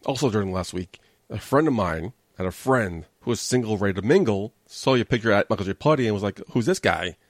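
A man's voice: on the low side, lively intonation, fairly quick, dry recording, clean studio sound. A man, hosting a casual solo podcast, chatty and joking with his listeners. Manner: chatty, self-deprecating, joking asides to listeners. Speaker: podcast host.

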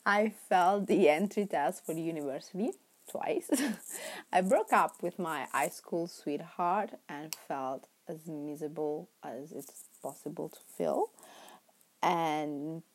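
A woman's voice: low voice, takes it slowly. A woman in her twenties from Italy, speaking English with an Italian accent, chatting casually and rambling as she hosts her own podcast, laughing often and sometimes dropping to a whisper. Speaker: podcast host. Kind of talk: casual solo podcast chat.

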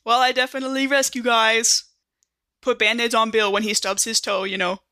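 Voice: medium pitch, little pitch movement, moderate pace, sharp tone, no room sound, studio quality, natural voice. A performer playing a friendly, joking young Marine medic in a sci-fi tabletop roleplay, rambling and informal. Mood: teasing, playful. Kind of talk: improvised in-character tabletop roleplay.

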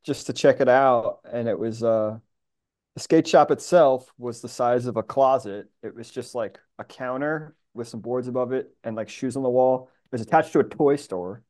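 A man's voice: mid-range voice, normal speed, a little mellow. A middle-aged American man from New York chatting casually about his own experiences in an informal conversation. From New York, USA. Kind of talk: casual chat.

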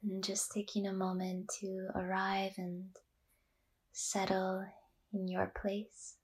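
Slow, breathy woman guiding meditation. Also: mid-range voice, monotone. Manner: soft, half-whispered, gentle and unhurried with long pauses. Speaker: meditation guide. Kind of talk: guided yoga nidra meditation.

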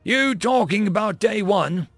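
A man's voice: high-pitched, very animated, creaky, a bit bright, no echo, soft background music, voice pushed up, heavily acted. A man voicing a brash, slangy young replacement Marine who gripes scornfully, in an audiobook story. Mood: incredulous. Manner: slangy, drawn-out griping with bro talk.

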